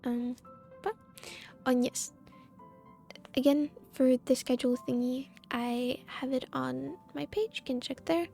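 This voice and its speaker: A woman's voice: sits high, fairly even intonation, unhurried, vocal fry, warm tone, faint music behind. A woman chatting casually as she live streams a game, giggly and meandering.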